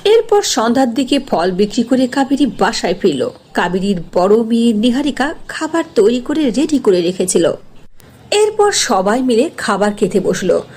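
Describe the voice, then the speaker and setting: A woman's voice: a little high, big pitch swings, normal speed, a little mellow, breathy edge. A woman narrating a scripted cartoon story as its storyteller.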